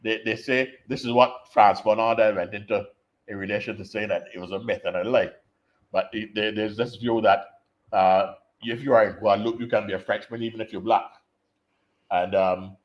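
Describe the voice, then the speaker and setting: Man, low register, patient academic explainer, muffled call audio. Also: steady tone. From Barbados. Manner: measured, explanatory, repeats words while thinking. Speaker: invited speaker, political scientist. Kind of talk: conference talk over video call.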